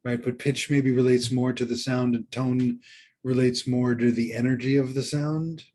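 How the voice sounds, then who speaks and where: A man co-hosting a podcast, coaching casually in a halting way, circling back and checking for agreement. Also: mid-range voice, level tone, mellow, a little hiss or hum. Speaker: podcast co-host.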